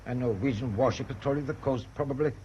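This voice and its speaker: An actor playing a weary, irritable German merchant ship captain in a radio drama, giving curt, imperious orders. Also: male voice, mid-range voice, fast talker, dark tone, lightly acted. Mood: irritable, commanding. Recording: dull, noisy old radio drama recording.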